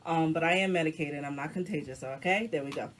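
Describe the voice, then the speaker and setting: Hoarse deep-voiced woman hosting a live chat. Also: fast talker, a little hiss or hum. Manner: chatty, slangy asides to viewers. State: recovering from flu, hoarse. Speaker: talk show host. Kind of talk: casual live-stream chat.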